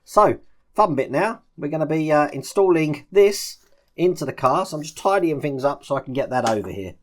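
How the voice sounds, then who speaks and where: A man's voice: a little high, animated, moderate pace. A man presents a model-building tutorial, informally talking through each step as he works. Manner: informal, narrating actions as he works. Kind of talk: hands-on step-by-step instructional commentary.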